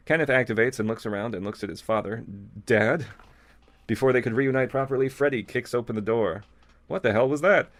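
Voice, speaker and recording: A man reading fan fiction aloud as a co-host, with casual, amused, irreverent asides. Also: lowish voice.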